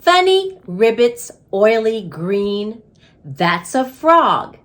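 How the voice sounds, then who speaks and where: A woman puts on a cheeky storybook schoolboy who is pleased with himself. His delivery is playful and declamatory, drawn out slowly with big pitch swings. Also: big pitch swings, exaggerated voice.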